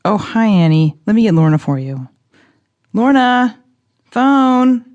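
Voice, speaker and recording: A woman's voice: a little high, sing-song, takes it slowly, a little mellow, dull audio. An actress playing a teasing, casual woman of the household in an audio drama, playful and offhand.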